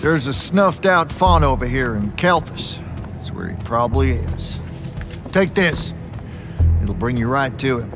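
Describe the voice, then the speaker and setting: A sardonic, drawling mentor. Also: male voice, medium pitch, animated, a little slow, music in the background. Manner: drawling, sardonic, folksy slang. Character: wounded, gruff older male mentor, sardonic. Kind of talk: video game cutscene acting. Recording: game audio with orchestral score.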